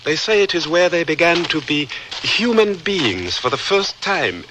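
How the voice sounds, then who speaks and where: A man's voice: fairly high pitch, animated, unhurried. A man playing an eager, polite, educated young Liberian newcomer in a radio drama, speaking formally with hesitant stammers. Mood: eager, storytelling. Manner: earnest, formal, courteous. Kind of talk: old-time radio drama acting. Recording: noisy radio drama recording.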